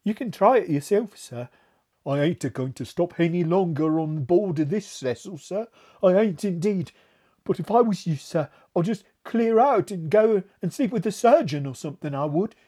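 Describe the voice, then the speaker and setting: A jittery, servile ship's steward with a high, fluttering voice, as a male narrator puts him on. His dropped and stray h's spill out in a husky, eager rush. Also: expressive, normal speed, dark tone. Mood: pleading.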